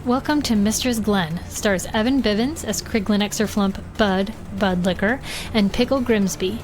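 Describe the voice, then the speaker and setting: A woman's voice: mid-range voice, low-quality recording. A woman announcer reading scripted audio drama credits. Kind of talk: scripted narration. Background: music.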